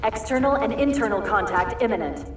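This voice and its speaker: A woman voicing a composed female shipboard announcer over a PA speaker, making flat, formal announcements. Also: mid-range voice, brisk. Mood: formal alert. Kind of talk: video game cutscene acting. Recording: distant echoing PA over loud music. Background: loud orchestral music.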